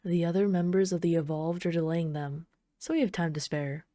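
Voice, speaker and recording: A woman playing an obsessive, flirtatious villain in an intimate ASMR roleplay, speaking softly and unhurriedly with teasing, casual menace. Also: lowish voice, fairly quick, no room sound, clean studio sound, natural voice. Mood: smitten, teasing. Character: obsessive yandere villain, flirtatious bomber calmly toying with trapped hero.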